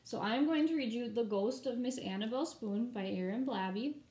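High-voiced woman reading a picture book aloud. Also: normal speed. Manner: expressive, animated reading; informal, chatty asides to children. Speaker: teacher reading aloud to pupils. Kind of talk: picture-book read-aloud with casual asides.

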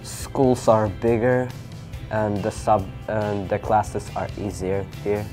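A man's voice: fairly low pitch, fairly even intonation, takes it slowly, warm tone, soft background music. A teenage boy interpreting for a friend in an interview, hesitant with many fillers. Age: teens. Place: indoor studio with orange backdrop. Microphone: lapel.

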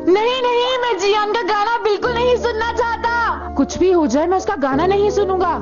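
A woman's voice: extremely high pitch, normal speed. A woman voicing a whiny, anxious, lazy young schoolboy in a dubbed cartoon, exclaiming as the character speaks. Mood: protesting. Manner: whining, exclamatory. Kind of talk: Hindi-dubbed cartoon acting. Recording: muffled TV rip, loud music behind.

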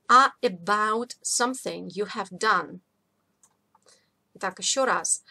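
A woman teaching a vocabulary lesson in an informal, explanatory way, repeating key words slowly for learners. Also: on the high side, pushed and bright. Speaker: English teacher. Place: voice-over of a screen recording.

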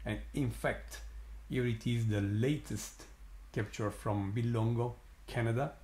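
A man with an Italian accent, his first language Italian, hosting a live astronomy stream and commenting slowly with many pauses and fillers, sounding amazed. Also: medium pitch, steady tone, warm tone, reverberant. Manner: slow, halting, many fillers, awed.

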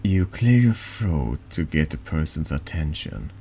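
A man with a deep voice reading horror narration slowly and haltingly in an ASMR style, over background noise. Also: big pitch swings, warm tone. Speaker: vtuber reading a text game aloud.

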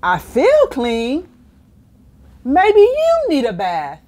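A woman's voice: high voice, huge pitch swings, extremely slow, darkish tone, heavily acted. A woman voicing a stubborn, dramatic, cheeky storybook pigeon with exaggerated, whiny delivery. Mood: indignant, evasive. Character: stubborn, dramatic, cheeky male pigeon who hates baths. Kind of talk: children's storybook character reading.

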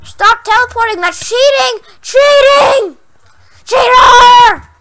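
Young girl gamer, hoarse and losing her voice, super high-pitched, yelps a lot. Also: takes it slowly. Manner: jumpy, yells and squeals when startled, then chatty. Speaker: gaming channel host. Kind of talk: Minecraft horror map let's play.

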